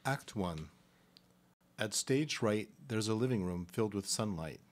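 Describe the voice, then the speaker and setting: Guy hosting a live radio play, kinda low voice, halting careful delivery. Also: animated. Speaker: presenter and stage-directions reader. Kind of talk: live introduction and scripted narration of radio play.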